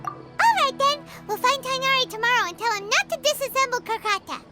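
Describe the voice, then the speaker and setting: A woman voicing a tiny, childish, excitable floating female companion who talks about herself in the third person, in a game cutscene. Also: extremely high pitch, brisk, faint music behind. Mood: chatty.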